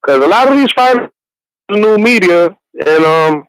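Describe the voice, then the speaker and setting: A young man from New York City rambles through a phone call, restarting his sentences and laughing easily. His voice sits fairly high. The sound is dry, with little room around it. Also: expressive, normal speed, clear, resonant tone, dry recording. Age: 20s. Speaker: caller. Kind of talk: casual call-in chat.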